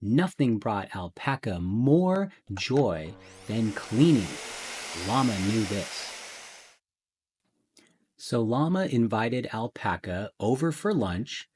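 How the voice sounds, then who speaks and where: A man reading a children's picture book aloud in a theatrical way, with deliberate pauses and emphasis. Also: medium pitch, big pitch swings, darkish tone, slightly rough. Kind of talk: dramatic children's storybook narration.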